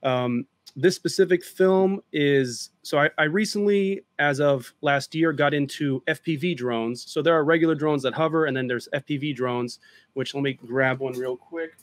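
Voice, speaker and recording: A man chatting casually in an interview over a video call, explaining at length in a relaxed way. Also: fairly high pitch, normal speed, dry recording. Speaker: drone filmmaker guest.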